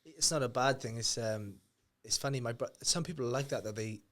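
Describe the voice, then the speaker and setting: A male podcast host talks quickly in a mid-pitched voice. He keeps the conversation chatty and informal, using friendly slang as he asks his questions. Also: fairly bright tone. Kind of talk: casual podcast interview.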